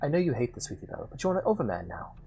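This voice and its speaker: Man doing sweet young mare, put-on British accent, old recorded message, pleading, tender, a little higher. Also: very animated, racing, dark tone, dull audio, soft background music. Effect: old crackly recorded message.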